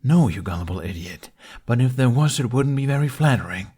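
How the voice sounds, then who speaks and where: A man voicing a sardonic, protective male dragon who argues in a deadpan, exasperated way. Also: mid-range voice, brisk, breathy edge, voice pushed up. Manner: deadpan, argumentative.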